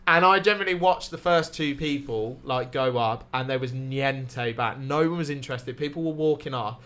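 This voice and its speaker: A young man from Wales with a southern English accent, telling sweary, animated stand-up stories on a casual podcast. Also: medium pitch, normal speed, slight background noise. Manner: sweary, animated anecdotes with acted-out quotes. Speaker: stand-up comedian guest.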